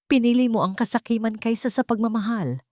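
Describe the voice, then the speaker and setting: A woman voicing a wealthy widowed man who speaks softly and sorrowfully in an audio drama. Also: on the high side, fairly quick, dry recording, clean studio sound, pitched above the performer's own voice, lightly acted. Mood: sad. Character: wealthy widowed man, hurt but gentle.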